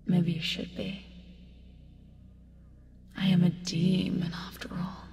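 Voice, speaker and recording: A woman playing a seductive, teasing sleep demon in an ASMR roleplay, breathy and often whispering, creepy yet soothing as she coaxes. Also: medium pitch. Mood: softly menacing. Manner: slow, taunting, coaxing with pet names. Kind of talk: ASMR roleplay, hypnotic bedtime delivery. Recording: long reverb, music behind. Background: music.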